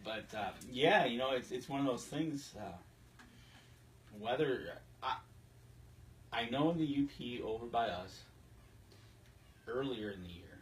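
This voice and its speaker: A man's voice: mid-range voice, lively intonation, measured pace, long pauses. A man co-hosting a casual hunting podcast, agreeable and conversational. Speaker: podcast co-host. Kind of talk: casual hunting talk show chat. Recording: distant mic, noticeable background noise.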